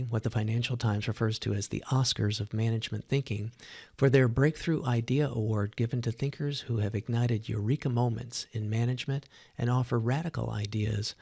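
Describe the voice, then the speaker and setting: A man's voice: medium pitch, creaky, a little mellow, breathy edge. A man hosting an interview podcast, reading prepared introductions and putting questions to his guest.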